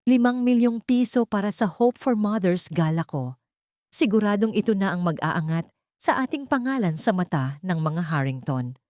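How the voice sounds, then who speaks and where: A woman's voice: mid-range voice, lively intonation, moderate pace, darkish tone, no echo, clean studio sound, lightly acted. Woman doing greedy rich older lady villain in a radio-style drama, gloating and grand. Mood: gleeful.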